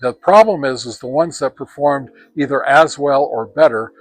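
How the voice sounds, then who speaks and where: An older man of about seventy gives a casual product review to camera, speaking haltingly and often correcting himself. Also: medium pitch, no room sound, rough recording. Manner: halting, hesitant, self-correcting delivery. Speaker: ham radio gear reviewer. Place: outdoors on a wooden deck among trees.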